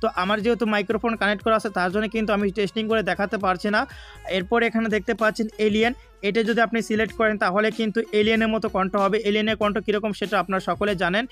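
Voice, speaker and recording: High-pitched, fast-talking Bangladeshi man, even delivery. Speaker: gaming YouTuber. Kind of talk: tutorial narration. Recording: faint background music. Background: music.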